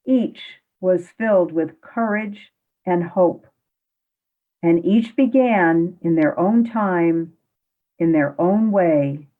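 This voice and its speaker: A woman narrating a radio play in a calm, deliberate storytelling manner. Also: lowish voice. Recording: tinny phone-like audio.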